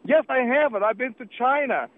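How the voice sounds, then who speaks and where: A man's voice: very high voice, a little fast. A man from Nicaragua calling a radio show, indignant and stammering as he argues. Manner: heated, indignant, stammering repeats. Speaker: caller. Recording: tinny phone line.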